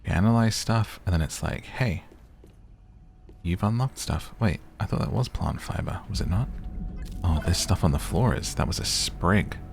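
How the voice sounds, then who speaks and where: A man giving relaxed, soothing gameplay commentary in an unhurried, chatty ASMR style. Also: sits low, gravelly, faint music behind. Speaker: gaming streamer.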